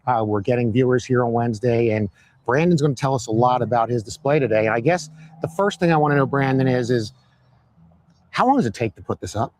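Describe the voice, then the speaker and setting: A hoarse man hosting a live-stream interview outdoors, upbeat and fond of repeating phrases for emphasis. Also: medium pitch, quick pace, a little mellow. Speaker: host. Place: outdoors at a Christmas light display by a road.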